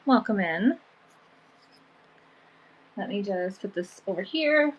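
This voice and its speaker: A woman with stuffy allergies talks loosely and chattily as she hosts a live vintage sale from home. Her voice sits at an ordinary mid pitch, and her sentences ramble along, filled with fillers. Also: expressive, slow pace, slightly rough, muffled recording. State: sniffly from allergies. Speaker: online vintage seller. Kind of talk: casual live-sale chat.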